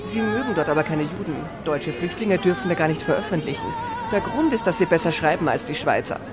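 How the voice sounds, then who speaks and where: A man performs a sardonic, sociable émigré from the theatre in a radio drama. His delivery is animated and joking, with wide swings of pitch, set in a crowd with music. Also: fast talker, natural voice. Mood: wry. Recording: busy café chatter and music behind.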